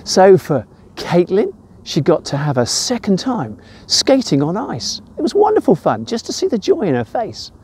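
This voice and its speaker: A man's voice: sits high, wildly swooping pitch, moderate pace, hardly any pauses, darkish tone, a little hiss or hum. An older man with an English accent giving an informal devotional talk in an emphatic way, with personal anecdotes. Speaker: church devotional presenter.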